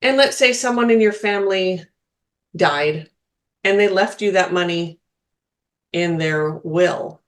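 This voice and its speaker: A woman's voice: fairly low pitch, takes it slowly, long pauses. A woman co-hosting a spiritual podcast, chatting casually, laughing often and restarting her sentences. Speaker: podcast co-host, spiritual medium.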